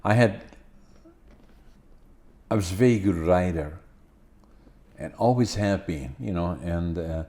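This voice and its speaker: An older man in his seventies from the Netherlands, speaking English with a Dutch accent and Dutch as his first language, hosting a podcast in a halting style full of fillers and restarts. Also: sits low, warm tone. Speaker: podcast host. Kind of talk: casual conversation.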